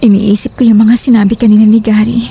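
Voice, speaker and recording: A woman playing a gentle, worried, polite young woman in a horror audio drama, speaking pensively. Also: mid-range voice, level tone, quick pace, breathy edge.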